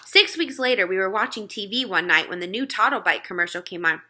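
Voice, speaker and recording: A woman's voice: mid-range voice, animated, a little fast, bright, forceful tone. A woman storyteller reading a children's book aloud as scripted narration.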